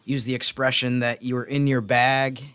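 A man's voice: medium pitch, level tone, normal speed, fairly bright tone, smooth, no echo. A man co-hosting a sports podcast as stats analyst, explaining things casually and methodically.

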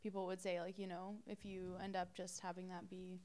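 A woman's voice: on the low side, flat delivery, a little fast, warm tone. A woman, chatting as an interview guest, hesitant and full of fillers. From Romania. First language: Romanian. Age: 20s. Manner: hesitant, many "like" and "um" fillers, laughs often. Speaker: guest, model. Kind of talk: casual interview chat.

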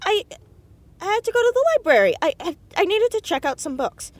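An actress playing an inquisitive, headstrong teenage girl in an audio drama, insistent and quick to get defensive. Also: extremely high pitch, sing-song, dry recording. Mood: flustered, defensive. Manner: stammers when cornered, insistent. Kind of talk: naturalistic audio drama acting.

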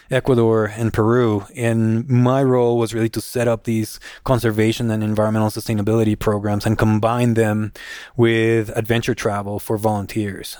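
A middle-aged man from Guatemala, a guest in an interview, telling his career story in a measured, steady way. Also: lowish voice, no room sound, clean studio sound. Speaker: guest, nonprofit executive director. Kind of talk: conversational interview answer.

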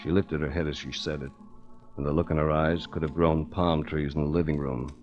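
A hard-boiled American investigator with a very low voice. He tells his own story with dry, wry drama, in the manner of 1940s radio, over faint music. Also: little pitch movement, fairly quick, dull audio. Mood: wry, dramatic. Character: tough, wry male private investigator narrating his own case. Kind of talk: 1940s radio detective drama acting and narration.

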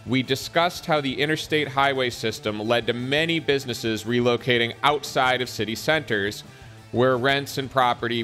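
A male podcast host with a bright, forceful tone reads his intro and drops wry, dry asides along the way. Faint music sits under his voice. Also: mid-range voice. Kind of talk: scripted podcast intro and interview.

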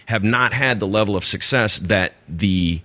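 A man's voice: lowish voice, moderate pace, pushed and bright. A man appearing as a podcast guest, chatting casually with dry humor about his television show. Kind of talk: casual chat.

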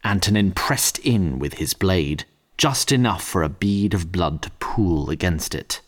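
A man's voice: deep voice, lively intonation, measured pace. A man narrating a scripted fantasy story in a wry, literary manner.

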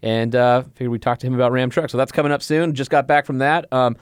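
A man co-hosting a podcast who teases his partner and talks in a lively, casual way. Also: mid-range voice, quick pace, smooth, dry recording, studio quality. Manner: teasing, upbeat. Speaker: podcast co-host, auto journalist. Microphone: headphones and studio mic.

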